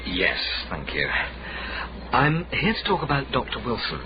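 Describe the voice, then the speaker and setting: An actor playing an eager young student turned amateur sleuth in a period radio drama. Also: male voice, medium pitch, huge pitch swings, a little slow. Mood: polite, probing. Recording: dull radio drama, clear background noise.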